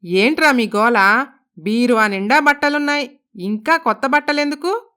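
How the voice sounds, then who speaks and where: A woman's voice: a little high, moderate pace, bright, forceful tone, clean tone. A woman voicing a stingy, stubborn middle-aged mother-in-law in a cartoon moral story, blunt and scolding in manner. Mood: scolding, dismissive. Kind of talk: Telugu animated moral story voice acting.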